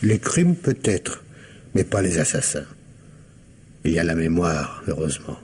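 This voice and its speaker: An actor playing a probing, wry police commissioner in a French radio mystery, questioning insistently with feigned hesitations. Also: male voice, sits low, big pitch swings, measured pace, darkish tone. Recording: noisy old radio drama recording.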